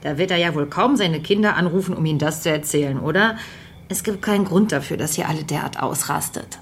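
A woman's voice: low voice, fairly quick, a little hiss or hum. Insistent young woman. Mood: dismissive, agitated. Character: privileged young granddaughter, insistent, impatient, in denial. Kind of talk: naturalistic German radio drama acting.

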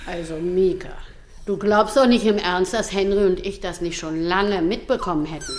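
A woman playing a brisk, bossy but good-hearted hotel employee with a teasing manner, in a German children's radio drama. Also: fairly low pitch, roomy sound, close to an everyday voice. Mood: teasing, stern. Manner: firm, teasing.

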